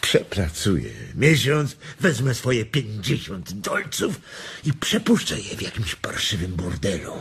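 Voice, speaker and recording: An actor playing a bitter, resigned ranch hand in a radio drama, gravelly and husky, speaking heavily and haltingly. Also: male voice, on the low side, big pitch swings, a bit bright, full character voice. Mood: bitter resignation. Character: weary, bitter, resigned migrant ranch worker.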